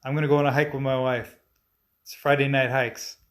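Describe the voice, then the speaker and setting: A man in his forties, a stop-motion animator, answering viewer questions in a relaxed, chatty way with frequent fillers and restarts. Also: mid-range voice, fairly quick. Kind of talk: casual live-stream Q&A, reading viewer comments aloud.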